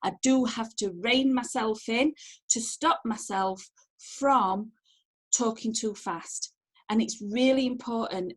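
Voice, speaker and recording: A middle-aged woman with a Sheffield accent giving an informal online training talk from home, chatty, animated and direct. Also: on the high side. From Sheffield, England. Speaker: empowerment coach giving online networking training. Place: at home on a video call. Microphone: video call, wearing headphones.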